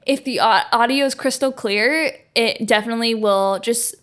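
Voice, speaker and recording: A woman's voice: a little high, moderate pace, fairly bright tone. Chatty young woman hosting a podcast. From Canada. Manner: upbeat, gushing, lots of "like" fillers. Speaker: podcast host. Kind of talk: casual podcast chat.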